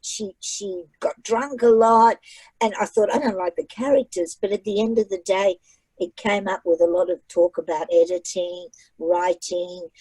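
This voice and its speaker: Chatty emphatic woman author, mellow dry voice. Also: mid-range voice, moderate pace, breathy edge, no room sound, rough recording. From migrated to Australia at age nine. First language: not English. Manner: chatty, emphatic, repeats phrases for effect. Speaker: author and guest speaker. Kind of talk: casual online library talk.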